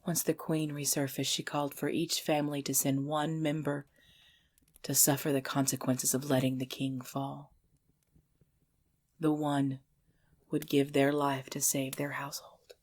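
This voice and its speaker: A woman's voice: sits low, little pitch movement. A woman voicing an ancient, possessive vampire lover in an intimate ASMR roleplay, speaking breathily and formally, often dropping into a whisper. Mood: somber, bitter. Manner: slow, formal, archaic phrasing, hushed.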